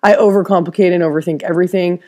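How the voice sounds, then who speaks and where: A woman's voice: lowish voice, steady tone, darkish tone. Stuffy-nosed woman rambling in a vlog. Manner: rambling, halting, many restarts. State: stuffed-up nose, slight cough. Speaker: interior designer vlogger. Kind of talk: casual personal vlog.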